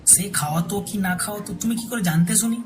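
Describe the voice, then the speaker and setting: A man acting as a Bengali husband in a romantic audio drama. He is devoted and gently romantic, with a teasing streak. Soft music runs behind the voice. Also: high-pitched, fast, lightly acted. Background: soft background music.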